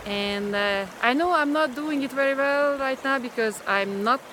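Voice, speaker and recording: A woman with a Hungarian accent who is not a native English speaker, talking hesitantly to camera in a casual vlog, outdoors by a river. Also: high voice. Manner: hesitant, with many pauses and fillers. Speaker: vlogger. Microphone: handheld camera or phone at arm's length. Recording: outdoor recording with rushing water noise. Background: rushing river water.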